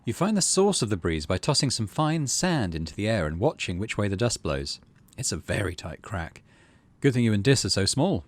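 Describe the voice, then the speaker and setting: A man's voice: lowish voice, big pitch swings, moderate pace, no room sound. A man hosting a game playthrough, reading story text aloud expressively and adding casual asides. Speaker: gaming video host.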